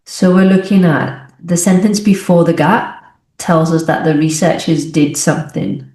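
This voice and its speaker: A woman's voice: lowish voice, fairly even intonation, measured pace, darkish tone. A young adult English teacher, a woman from the UK, explaining exam questions as she thinks aloud and corrects herself. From United Kingdom. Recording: echoey room.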